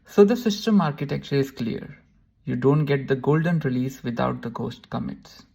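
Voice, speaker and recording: A speaker gives a brisk scripted recap in a gravelly, husky voice. The pitch sits in the middle range. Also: mellow.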